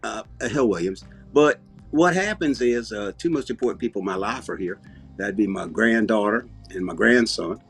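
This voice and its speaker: An older man with a Southern US accent and a husky voice, chatting in a folksy, rambling storytelling way with down-home grammar. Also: lowish voice, moderate pace, faint music behind. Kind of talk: casual bantering chat.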